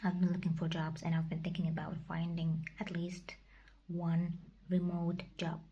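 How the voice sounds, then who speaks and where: Young woman, whispered vlog, mellow, flat delivery, hesitant. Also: lowish voice, normal speed, dull audio, slight background noise. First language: Kazakh. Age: young adult. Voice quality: breathy whisper. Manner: whispered, hesitant, searching for words. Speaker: vlogger. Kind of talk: casual personal vlog.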